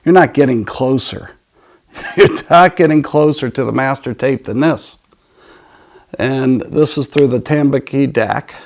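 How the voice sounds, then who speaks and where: A man hosting a casual audio talk, enthusiastic but halting, often trailing off and picking the thought up again. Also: medium pitch. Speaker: audio dealer and show host. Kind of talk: casual product and music talk.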